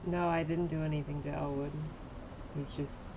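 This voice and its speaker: A man's voice: fairly high pitch, level tone, moderate pace. A young adult man chatting casually as he plays a game, giving brief reactions as co-host. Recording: noisy room recording.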